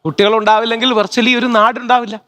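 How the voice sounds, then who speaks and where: A man's voice: very high-pitched, level tone, fairly quick, pushed and bright. A man on a TV debate panel who insists hard and stacks up one argument on the next. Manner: insistent, builds chained arguments. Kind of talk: heated debate talk.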